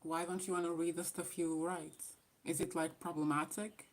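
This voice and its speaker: Woman, Portuguese accent, low voice, soft-spoken, casual livestream chat. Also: level tone, moderate pace. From northern Portugal. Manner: soft-spoken, chatty, answers comments. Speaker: livestream host.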